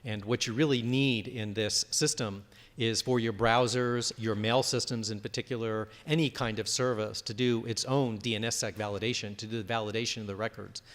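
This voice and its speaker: A man giving a conference presentation and fielding audience questions. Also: on the low side. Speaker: conference speaker. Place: large conference room. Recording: reverberant hall.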